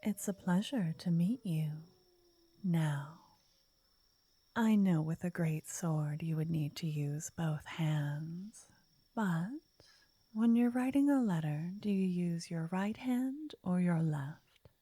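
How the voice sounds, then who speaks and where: Slow, soft, breathy fortune teller girl, acted by a woman. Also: on the low side, lively intonation, dry recording, full character voice. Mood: warm, intrigued. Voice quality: soft, breathy, half-whispered. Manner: slow, soothing, coaxing, gently teasing. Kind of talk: ASMR roleplay, intimate scripted address to listener. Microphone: close, dry microphone.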